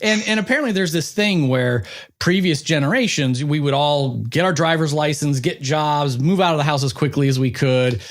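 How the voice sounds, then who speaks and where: Middle aged guy podcast host, chatty and informal, voice a bit on the higher side. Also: normal speed, slight background noise. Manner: chatty, self-referential, informal with frequent 'like' and 'you know'. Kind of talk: casual conversational interviewing.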